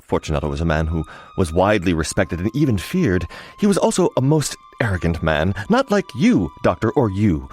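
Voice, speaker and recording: A man's voice: sits low, wildly swooping pitch, a little fast, hardly any pauses, darkish tone, faint music behind. An actor playing an embittered fallen nobleman in a madhouse radio drama, unctuous and theatrical, savoring his words. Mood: bitter, scornful.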